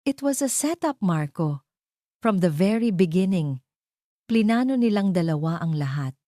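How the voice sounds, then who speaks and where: A female narrator plays a scheming, possessive woman in a romance audio story. Her voice is dark and mellow, recorded dry. She coaxes with sugary, insinuating sweetness over a cold core. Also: lowish voice, expressive, a little slow, no echo, pitched above the performer's own voice. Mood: sweetly accusing.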